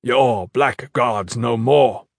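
A man voicing a stern, curt commander of an elite guard in an audiobook story, with a gruff, gravelly voice. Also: mid-range voice, drawn-out words, no echo, studio quality, full character voice. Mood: stern, solemn. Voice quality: gravelly, husky.

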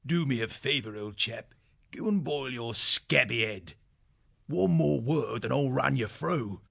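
A man's voice: medium pitch, lively intonation, measured pace, creaky, a bit bright, slightly rough, exaggerated voice. A man voicing a big male hare soldier who is a bold, mocking captive. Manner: breezy mocking banter.

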